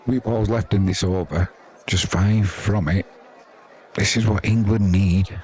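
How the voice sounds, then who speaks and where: Male sports commentator, low register, live cricket call, light crowd behind. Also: steady tone, unhurried, darkish tone.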